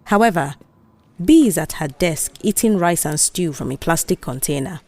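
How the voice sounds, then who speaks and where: A woman's voice: sits low, expressive, moderate pace, darkish tone. A woman with a Nigerian accent narrating a scripted audio drama.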